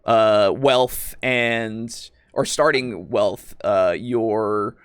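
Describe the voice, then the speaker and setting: A man's voice: lowish voice, steady tone, drawn-out words, clear, resonant tone, no room sound, studio quality. A man co-hosting a casual tabletop roleplaying discussion, rambling and searching for words, with some casual swearing. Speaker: podcast co-host.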